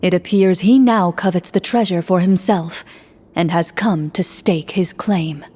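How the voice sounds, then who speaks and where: Calm woman narrating a game story. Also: lowish voice, a little slow, slightly rough. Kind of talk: fan voice reading of a game story.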